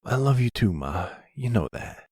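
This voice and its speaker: A man playing a troubled young adult son in a roleplay audio drama, casual and defensive, with a husky voice and raw feeling. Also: mid-range voice, sing-song, normal speed, darkish tone, no echo, clean studio sound, pitched above the performer's own voice. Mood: remorseful.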